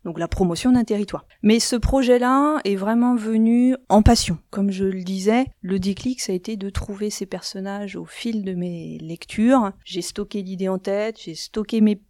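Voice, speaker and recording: Brief: middle-aged woman from Brittany, hesitant podcast guest, mellow tone, dry close sound. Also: medium pitch, moderate pace, hardly any pauses, no room sound. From central Brittany, France. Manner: hesitant, many fillers and restarts. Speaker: game creator, guest. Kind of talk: casual interview chat.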